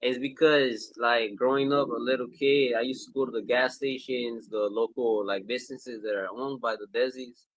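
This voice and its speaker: A man's voice: mid-range voice, fairly even intonation, normal speed, few pauses, dry recording, poor audio. Casual American man, dry close sound. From Chicago, United States. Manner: hesitant, frequent "like". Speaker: podcast guest. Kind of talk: casual interview chat.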